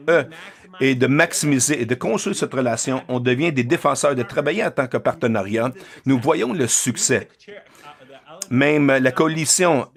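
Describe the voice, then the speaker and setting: Give me a man delivering a keynote at a middling pitch, halting, with frequent filler sounds. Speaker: keynote speaker. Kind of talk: live interpreted keynote speech.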